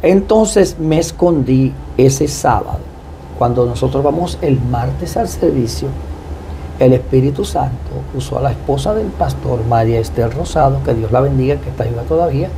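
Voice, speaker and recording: A man with a Puerto Rican Spanish accent, a pastor speaking as a guest in a devout, deliberate testimony style. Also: mid-range voice, expressive, noisy background. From Puerto Rico. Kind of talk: personal testimony and prayer.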